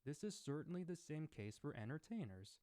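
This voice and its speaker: A man's voice: fairly high pitch, big pitch swings, brisk, warm tone. A man hosting his own scripted discussion segment as a roleplay creator, speaking earnestly in formal wording.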